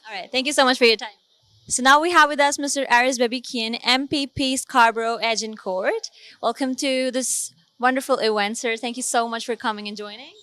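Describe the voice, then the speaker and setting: A woman's voice: a little high, steady tone, a little fast, fairly bright tone, dry recording. A woman reporter interviewing guests at a film premiere, effusive and enthusiastic. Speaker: TV event reporter. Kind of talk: red-carpet interview.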